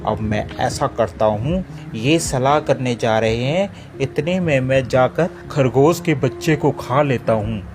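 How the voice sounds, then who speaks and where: A man's voice: medium pitch, measured pace, hardly any pauses, noisy background, natural voice. A man voicing a sly wolf in a cartoon story, with a polite, ingratiating manner. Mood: scheming.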